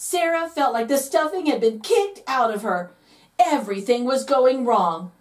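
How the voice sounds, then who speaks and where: A woman reads a children's picture book with playful, theatrical emphasis. Her voice sits fairly high and swings widely in pitch, stretching key words for drama. She sounds a little away from the microphone. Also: expressive, normal speed, far from the mic. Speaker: read-aloud host, storyteller. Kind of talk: expressive children's picture book read-aloud.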